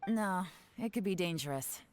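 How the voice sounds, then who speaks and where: A woman's voice: fairly low pitch, animated, normal speed, slight background noise, natural voice. A woman playing a cool, shrewd intelligence agent in a video game. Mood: cool, assured. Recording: music behind the voice.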